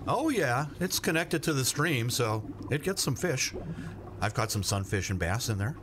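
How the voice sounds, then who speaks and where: A man's voice: mid-range voice, sing-song, normal speed, lightly acted. A man playing an awkward, well-meaning family fisherman in a radio play, hesitant and plainspoken, over noisy ambience. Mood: sheepish. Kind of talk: naturalistic radio play acting. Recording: noisy ambience with vehicle sounds.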